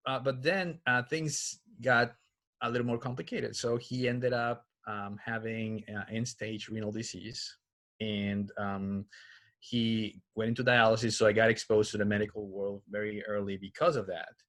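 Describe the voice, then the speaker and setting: A man's voice: fairly low pitch, steady tone, normal speed, fairly bright tone. A man from Colombia with a Colombian accent and Spanish as his first language, a doctor telling personal stories in a casual, conversational interview style. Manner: conversational, informal. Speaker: oncologist being interviewed.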